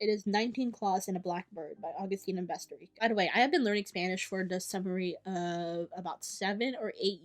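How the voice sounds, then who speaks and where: A young woman talks straight to camera about the books she plans to read. Her voice sits in a middle pitch, and her delivery is chatty and enthusiastic. She keeps breaking off into quick asides and correcting herself. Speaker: book vlogger. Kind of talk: casual chat to camera.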